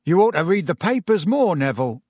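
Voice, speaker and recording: A man playing a chatty, excitable young bus conductor in an audiobook, with colloquial working-class speech and frequent tag questions. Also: high voice, brisk, breathy edge, no echo, studio quality, pitched above the performer's own voice, heavily acted. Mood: superior.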